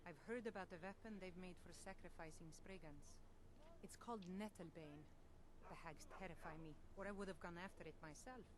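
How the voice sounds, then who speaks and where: An actress playing a devout temple priestess and healer in a fantasy video game, measured, earnest and gently grave. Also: female voice, fairly low pitch, background noise, natural voice. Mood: earnest, reverent.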